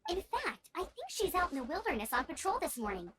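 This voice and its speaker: A woman's voice: very high-pitched, big pitch swings, a little fast, very noisy. A woman playing a perky, encouraging young scout knight in a video game, speaking in an upbeat, eager, friendly way. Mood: friendly explaining. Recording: loud game music behind.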